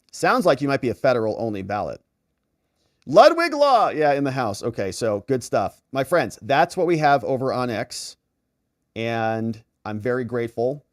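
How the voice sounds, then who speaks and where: A man hosting a live commentary stream, reading out viewer messages and thanking supporters in a chummy, effusive way with theatrical, drawn-out emphasis. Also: mid-range voice, no echo, clean studio sound. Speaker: host of a live political and legal commentary stream. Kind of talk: casual livestream sign-off, reading viewer messages and shout-outs.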